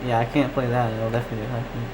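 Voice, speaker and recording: A man's voice: mid-range voice, monotone, racing, noisy background. A man hosting a casual live stream, chatting with viewers and thinking aloud in a rambling, distracted way. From Canada. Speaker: live stream host, radio hobbyist. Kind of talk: casual live-stream chat, reading and answering comments.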